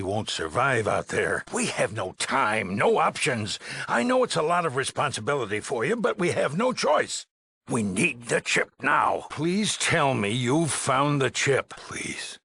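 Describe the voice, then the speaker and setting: An actor playing a weary, anxious middle-aged male leader of an underground shelter in a video game, with a raspy, gravelly voice and halting, earnest delivery. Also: low voice, sharp tone, dry recording, full character voice. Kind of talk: dramatic game dialogue acting.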